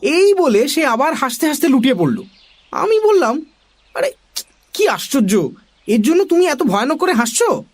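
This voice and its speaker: An expressive, animated narrator telling a children's story in dramatic style. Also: high voice, normal speed, long pauses.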